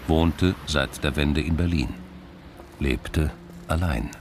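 Low-pitched man narrating a crime story. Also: slow, a little hiss or hum. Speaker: narrator of a radio crime drama. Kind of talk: scripted literary narration.

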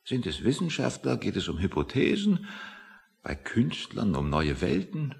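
A man's voice: fairly low pitch, huge pitch swings, unhurried, vocal fry, heavily acted. An actor playing a grumpy, self-satisfied, pedantic man in a satirical radio play. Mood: pompous, musing. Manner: pedantic, lecturing, easily offended. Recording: reverberant hall-like room.

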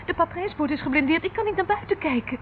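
A tinny, clearly noisy radio-play recording holds a young woman held captive. Her voice sits high, anxious and polite, with an earnest, timid, pleading delivery. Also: expressive, quick pace. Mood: anxious, questioning. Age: young adult. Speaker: radio drama actress. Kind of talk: Dutch radio play acting.

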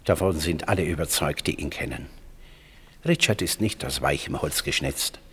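A man's voice: a bass voice, expressive, normal speed, a bit bright, husky, heavily acted. An actor playing a poor, tender old porter and father in a 1950s German radio play, with a theatrical, savouring delivery. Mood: attentive, gently wistful. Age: older. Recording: 1950s radio production with music.

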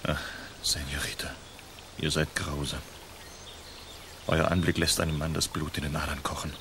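Very deep, hushed, gallant outlaw. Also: male voice, little pitch movement, normal speed, background noise. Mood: ardent, seductive. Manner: hushed, seductive, courtly. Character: bold, charming masked outlaw, ardent and gallant suitor. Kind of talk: radio play acting.

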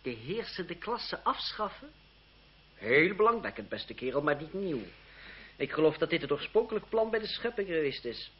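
An actor playing a cynical, witty young French lawyer in a radio drama, with an ironic, condescending, urbane manner. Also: male voice, fairly high pitch, big pitch swings, moderate pace, slightly rough. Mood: dry irony. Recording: muffled, hissy old radio drama recording.

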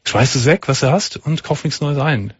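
A man's voice: fairly high pitch, normal speed, breathy edge, dry recording, highs cut off. A man hosting a late-night call-in radio show, giving direct advice and often stumbling over and repeating his words. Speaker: radio talk show host.

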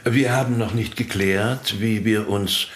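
An actor playing a calm, diplomatic church official in a radio play. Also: male voice, lowish voice, echoey room, close to an everyday voice. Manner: measured, deliberate.

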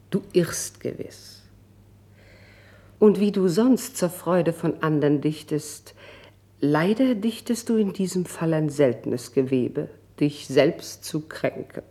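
Gentle noblewoman friend, low mellow voice, unhurried poetic verse, classical radio drama. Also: full character voice. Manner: soft, measured, poetic declamation.

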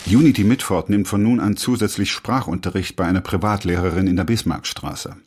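A male narrator reads a scripted historical documentary. His voice is very deep. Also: fairly quick, darkish tone, breathy edge.

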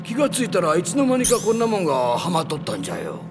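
A folksy old dog companion from an anime, high and very animated. He grumbles like an old codger, with clear music behind him. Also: fast. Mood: grumbling, puzzled. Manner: old-man dialect, animated. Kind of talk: anime voice acting.

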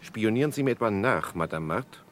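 A stern doctor in an old radio crime play, acted by a man. He speaks low, cold and suspicious, ordering people about. Faint noise sits on the recording. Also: animated, fairly quick, natural voice. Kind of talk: radio crime drama dialogue.

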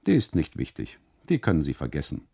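A man voicing a dry, unsmiling custodian in a radio monologue, curt, dismissive and deadpan. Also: a bass voice, fairly quick, gravelly, exaggerated voice.

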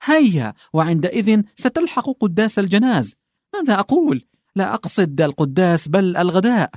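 Tactless old official stumbling over his words, played by a man; raised, lively pitch. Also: brisk, no echo, clean studio sound. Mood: exasperated. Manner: fumbling, backtracking, stammering with "ah". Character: bumbling old male official, coughing, tactless.

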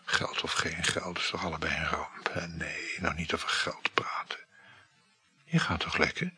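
An actor playing a vain married lover in an intimate radio play, murmuring and coaxing, often slipping into whispers. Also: male voice, very deep voice, little pitch movement, normal speed, bright, forceful tone, husky, heavily acted. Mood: soothing, dismissive. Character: vain married male lover, fishing for flattery.

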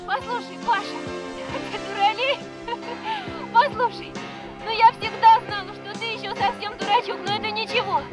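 A young woman in love in a radio drama. Her voice is extremely high, tender and playful, with loud music swelling behind it. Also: steady tone, normal speed. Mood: teasing. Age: young adult. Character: tender, teasing young sweetheart on a long-distance call. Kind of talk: radio play acting.

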